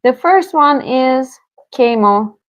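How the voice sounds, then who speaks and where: A woman's voice: high voice, dry recording. A woman from Turkey, chatting casually with viewers as she hosts a live tarot reading stream. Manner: slow, relaxed, chatty with viewers, soft laughs. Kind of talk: casual livestream chat with viewers.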